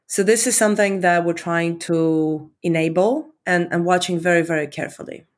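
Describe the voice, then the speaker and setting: Romanian-born woman in her forties, lowish voice, reflective guest who circles back on her words. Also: steady tone, moderate pace, a little mellow. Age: 40s. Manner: thoughtful, repeats words, many fillers. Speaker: company leader, guest. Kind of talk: interview conversation.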